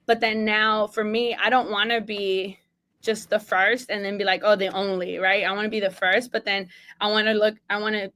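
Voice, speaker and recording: A woman's voice: medium pitch, flat delivery, moderate pace, sharp tone. A woman from Mexico speaks as a podcast guest, earnest and emphatic. She talks in a mid-range voice, with a loose conversational flow full of "like" and "right?". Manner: single mom. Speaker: associate athletic director, guest. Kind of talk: casual interview talk.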